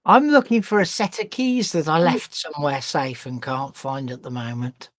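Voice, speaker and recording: A woman's voice: low-pitched, moderate pace, no echo, clean studio sound. A woman co-hosting an online show in casual chat, with a wry, grumbling manner.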